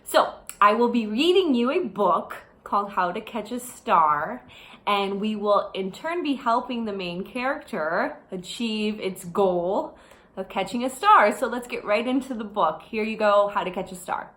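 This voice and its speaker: A woman's voice: mid-range voice, expressive, unhurried, fairly bright tone. A woman presenting to young children in the style of a lesson and storybook read-aloud, with a simple, guiding manner. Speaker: children's STEM teacher and presenter.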